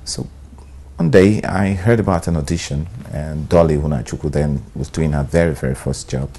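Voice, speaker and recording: A middle-aged Nigerian man, an actor, talking in a sit-down interview, relaxed and hesitant, with many "um"s and "you know"s. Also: very deep voice, moderate pace, husky. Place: bright studio room, indoors. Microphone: lapel.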